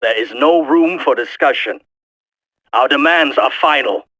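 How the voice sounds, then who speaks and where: A man playing a coldly resolute terrorist leader in a video game, measured, formal and threatening. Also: on the high side, pushed and bright, dry recording, poor audio. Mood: cold menace. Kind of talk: video game villain monologue.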